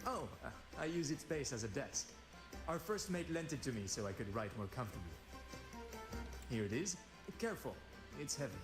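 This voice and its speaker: A man's voice: medium pitch, lively intonation, normal speed, long pauses, close to an everyday voice. A man playing an earnest, lyrical young sailor-poet in a video game. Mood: helpful. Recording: game music, roomy reverb.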